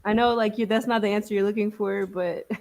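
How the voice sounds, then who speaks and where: A woman's voice: mid-range voice, fairly even intonation, fairly quick, gravelly, a little mellow. A woman guest on a podcast panel, answering hesitantly with many fillers. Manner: hesitant, filler-heavy, laughing. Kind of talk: casual discussion.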